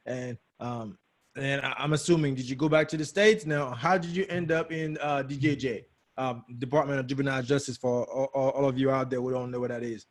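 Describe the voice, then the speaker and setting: A young man hosting a casual interview, eager and quick to react with praise. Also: mid-range voice, fairly even intonation. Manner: enthusiastic, quick to react with "awesome" and laughs. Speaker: host.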